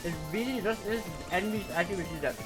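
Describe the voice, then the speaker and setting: Excitable male esports caster over game music. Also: high voice, fairly quick. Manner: excitable, shouts at big plays. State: going hoarse. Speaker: esports commentator. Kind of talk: live esports commentary.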